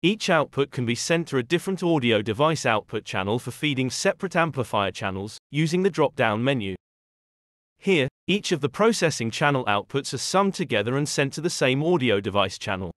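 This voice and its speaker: A man reading a scripted software tutorial in a measured, instructional way. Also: mid-range voice, very animated, dry recording, clean studio sound. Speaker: tutorial narrator.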